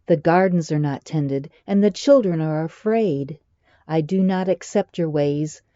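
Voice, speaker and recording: A woman's voice: on the low side, warm tone, dry recording, dull audio. A woman voicing a brave little girl who speaks firmly and insistently. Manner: firm, insistent, ringing.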